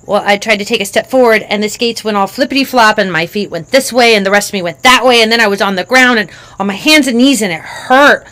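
A woman's voice: medium pitch, quick pace, few pauses, sharp tone, pitched above the performer's own voice, lightly acted. A woman voicing her five-year-old self, an eager little girl telling how she fell. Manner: breathless, eager, tumbling run-on sentences.

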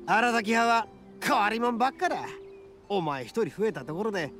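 A man voicing a boisterous, big-hearted young oni gang boss in a Japanese game, cocky and loyal, with swaggering rough speech. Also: high-pitched, animated, moderate pace, a bit bright, faint music behind. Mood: confident, reassuring. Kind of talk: Japanese anime game voice acting.

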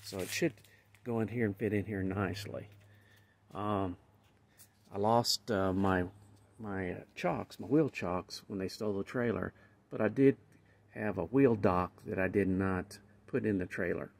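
Gravelly low-voiced older man explaining. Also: a little slow. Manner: rambling, hands-on explaining. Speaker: channel host. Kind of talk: casual demonstration. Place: garage with van, indoors and outdoors.

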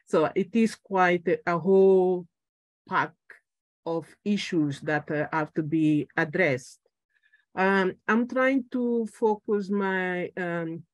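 A middle-aged woman from Angola, a native Portuguese speaker, gives a political talk on a video call, halting and searching for words. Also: sits low, a little mellow, dry recording. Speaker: political party speaker at a conference. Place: room at home.

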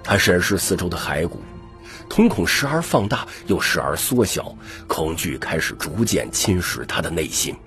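Deep-voiced, expressive male audiobook narrator. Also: moderate pace. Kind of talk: dramatic scripted story narration. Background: background music.

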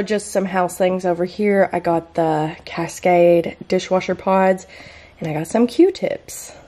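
A young woman in her twenties chatting casually about her groceries in a home kitchen, bubbly and quick to laugh. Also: mid-range voice, mellow. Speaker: lifestyle vlogger. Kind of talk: casual grocery haul chat.

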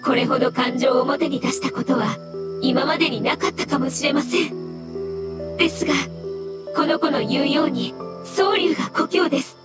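Woman as a high-voiced, courteous mushroom spirit. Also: over loud music, close to an everyday voice. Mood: excited. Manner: courteous, measured, formally polite speech. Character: polite floating mushroom spirit, gentle and formal. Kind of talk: scripted game dialogue.

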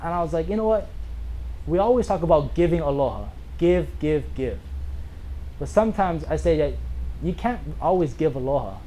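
A man in his thirties from Hawaii tells his own story to a small crowd. His voice sits a little higher than most men's, and he talks with earnest, animated energy. The camera catches him from across a bookstore, with a faint hum of room noise behind him. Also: normal speed, low-quality recording. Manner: earnest, animated, gestures while talking, frequent "you know" fillers. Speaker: author giving a talk. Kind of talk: casual personal storytelling talk. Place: small bookstore with a seated audience, indoors.

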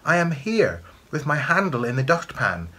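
Enchanted household thing for a storybook, played by a man: small, high, bright, obediently answering. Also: sing-song, moderate pace, breathy edge, exaggerated voice. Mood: dutiful, answering.

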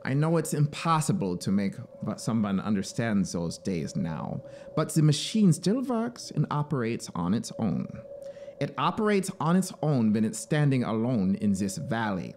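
A man's voice: medium pitch, sing-song, moderate pace, darkish tone, slight background noise. Man acting out a scheming male officer, storybook horror, fervent, persuasive, rhetorical, mid-range voice, audible eerie soundscape. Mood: nostalgic, fervent. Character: zealous, scheming male penal colony officer, insistent and aggrieved. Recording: clear background synthesizer ambience.

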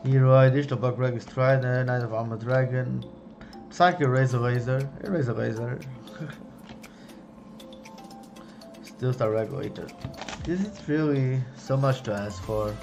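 A young man giving casual running commentary as he opens trading card packs, chatty, excited on big pulls and low on misses, recorded at home on a desk mic. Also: normal speed, faint music behind. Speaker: card-opening youtuber. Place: home room, indoors.

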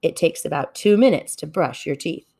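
Low-pitched, very lively woman reading a storybook. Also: normal speed, husky. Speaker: storybook reader. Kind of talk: expressive children's story read-aloud.

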